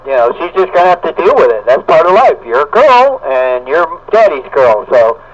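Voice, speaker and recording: A middle-aged man with a fairly high voice chats over CB radio. His talk is blunt, folksy and casual. The sound is a dull, band-limited radio signal. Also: big pitch swings, moderate pace. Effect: CB radio transmission. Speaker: amateur CB radio operator.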